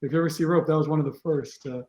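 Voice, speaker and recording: A man's voice: on the high side, fairly even intonation, very fast, a crowd in the background. A man with an American accent, who grew up in Israel, chatting as a guest on a video call, rambling with many fillers and restarts. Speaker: guest, team captain. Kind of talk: casual video-call interview. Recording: echoey room.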